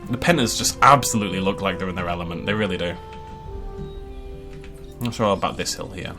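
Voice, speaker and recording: A man's voice: deep voice, brisk, over music. A man giving casual, chatty commentary while building a park in a video game, laughing often and making playful asides. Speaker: game channel host.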